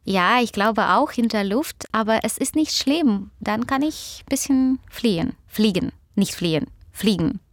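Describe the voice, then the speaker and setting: A woman's voice: a little high, lively intonation, unhurried, few pauses, no echo, studio quality. A woman in her thirties from Ukraine, a native Russian speaker, speaking German thoughtfully as a radio interview guest. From Ukraine (Odessa). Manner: thoughtful, occasional laughter, non-native grammar slips. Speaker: author and doctor, interview guest. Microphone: studio microphone.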